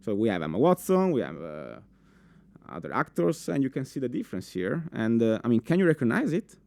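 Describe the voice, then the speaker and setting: A young man with an Italian accent giving a live conference talk in a full room, animated, with many fillers and restarts, asking the audience questions. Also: mid-range voice, brisk, gravelly. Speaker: conference speaker.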